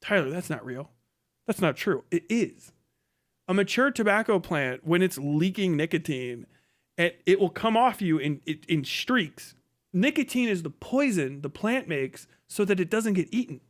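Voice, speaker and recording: A middle-aged man speaks in a notably high register for a male voice. He delivers a comedic livestream rant, blunt and mocking, with heavy casual swearing throughout. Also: animated, normal speed. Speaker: live streamer, host.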